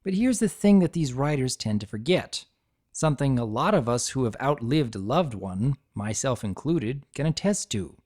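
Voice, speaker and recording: Earnest man reading aloud, mid-pitched. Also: expressive, normal speed, darkish tone. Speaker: fan fiction reader and channel host. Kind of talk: dramatic reading, scripted commentary.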